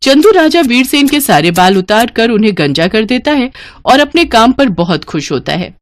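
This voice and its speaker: A woman's voice: a little high, big pitch swings, moderate pace, no echo, clean studio sound. A woman narrating a children's moral tale as scripted storytelling.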